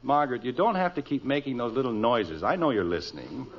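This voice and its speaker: Animated, grumbling sitcom dad. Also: male voice, mid-range voice, fast, breathy edge. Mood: exasperated. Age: middle-aged. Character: exasperated middle-aged suburban husband and father. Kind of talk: 1940s-50s radio sitcom acting. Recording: muffled, hissy old radio recording.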